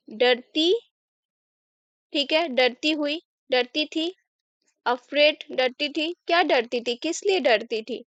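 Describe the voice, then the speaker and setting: A woman's voice: high-pitched, no echo, dull audio. A young Indian woman teaching English step by step, patiently asking questions and then answering them herself. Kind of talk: slow line-by-line English lesson explained in Hindi.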